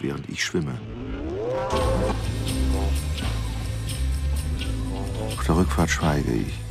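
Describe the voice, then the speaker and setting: A cold sneering killer in a radio play, super deep n slow, clipped phrases, music behind. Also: male voice, creaky, full character voice. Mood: contemptuous, brooding. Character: cold, contemptuous male would-be killer of his sweetheart. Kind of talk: first-person radio play monologue. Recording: music under the voice.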